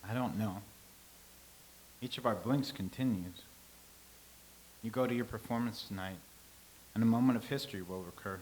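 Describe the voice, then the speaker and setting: A man reading the part of a calm, philosophical old-time scribe, speaking in a measured, lecturing way. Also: on the low side, a little hiss or hum, close to an everyday voice, low-quality recording. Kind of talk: staged reading.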